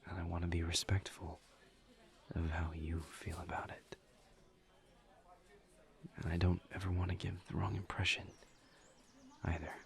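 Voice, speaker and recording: A man playing a courteous, earnest incubus demon in an intimate audio roleplay, speaking softly and breathily in halting, formal phrases. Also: sits low, level tone. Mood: awkward, earnest. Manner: hesitant, halting, formal wording, soft-spoken and breathy. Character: courteous, earnest male incubus demon, awkward among friends.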